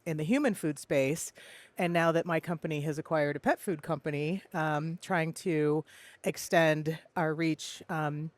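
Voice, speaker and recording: A woman in her fifties, an engineer in the food industry, speaking as a podcast guest in a measured way with frequent fillers. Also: low voice, no echo. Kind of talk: casual chat.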